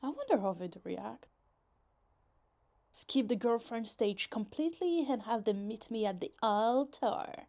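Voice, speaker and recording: Actress as clingy, lovesick yandere girl for roleplay ASMR: higher female voice, possessive, teasing, pouty, conversational. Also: big pitch swings, a little slow, dark tone. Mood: pining, obsessive. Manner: teasing, pouty, dramatic, casual swearing.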